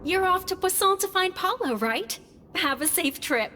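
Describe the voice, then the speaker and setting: An eager young troupe member in a video game. Her voice is high and very bright, friendly and supportive, ringing with long reverb. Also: expressive, normal speed, a little hiss or hum, heavily acted. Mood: cheerful.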